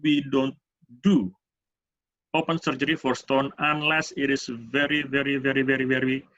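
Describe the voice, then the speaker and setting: Man, Indonesian accent, medical presentation, hesitant halting delivery, medium pitch, dull lo-fi webinar audio. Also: level tone, a bit bright, dry recording. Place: online webinar.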